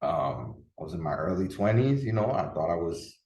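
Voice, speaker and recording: A deep-voiced man in his thirties, originally from the Dominican Republic, talks through a casual solo podcast episode. He rambles earnestly, restarting sentences and leaning on fillers. The sound is roomy and echoey. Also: very animated, normal speed, a little mellow, far from the mic. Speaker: podcast host and coach. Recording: echoey room.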